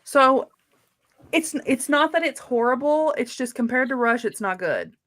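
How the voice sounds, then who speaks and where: A woman's voice: sits high, lively intonation, measured pace, fairly bright tone. A woman co-hosting a casual live book chat, effusive and candid, rambling along with plenty of filler "likes". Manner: effusive, rambling, uses lots of "like". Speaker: co-host of a live book readalong.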